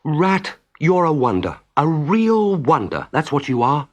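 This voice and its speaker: A man's voice: a little high, very animated, unhurried, pitched above the performer's own voice. A man voicing a small, timid male mole in a children's story, plaintive and polite in manner. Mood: amazed.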